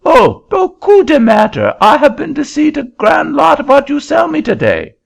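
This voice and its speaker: A man voicing an excitable middle-aged Frenchman with a French accent and sputtering broken English, in an audiobook reading. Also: very high voice, normal speed, creaky, pitched above the performer's own voice, exaggerated voice. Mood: indignant. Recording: dull lo-fi recording.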